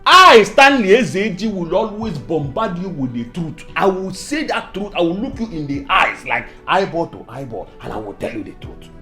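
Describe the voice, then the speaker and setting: A man with a Nigerian accent playing an outspoken, effusive friend in a comedy skit, who lectures loudly and breaks into laughing shouts. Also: high voice, sing-song, normal speed, hardly any pauses, soft background music, heavily acted. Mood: lecturing. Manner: loud, effusive and preachy, bursts into laughing shouts. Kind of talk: comic skit acting.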